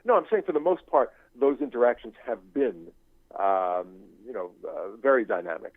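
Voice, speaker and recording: An older man giving an unscripted interview as a guest professor, halting and searching, often circling back over his phrases. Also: medium pitch, animated, dry recording. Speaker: guest, screenwriting professor and writer. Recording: thin phone-like line.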